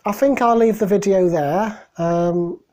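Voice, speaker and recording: A middle-aged man, hoarse from a cold, talking haltingly and emotionally into a webcam in a small room at home. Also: high voice, warm tone. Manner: halting, emotional, many restarts. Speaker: vlogger. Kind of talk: personal video diary. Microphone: webcam at desk.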